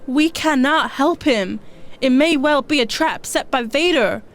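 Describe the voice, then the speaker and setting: A woman playing a solemn, protective warrior mentor with an earnest, measured delivery in a sci-fi audio drama. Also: high voice, animated, slight background noise. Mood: firm.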